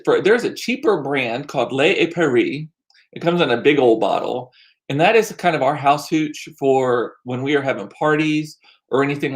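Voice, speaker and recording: A middle-aged American man chatting casually as a livestream host, rambling along with self-deprecating asides and animated, repeated words for emphasis. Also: medium pitch. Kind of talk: casual chat to live viewers. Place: small home room used as studio.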